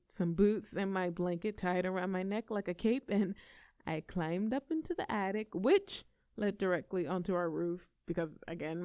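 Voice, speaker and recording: A woman's voice: on the low side, mellow, clean tone, dry recording, clean studio sound, close to an everyday voice. A woman voicing a tough, brash young girlfriend in a scripted audio roleplay. She talks casually and cockily, swears freely and laughs between lines.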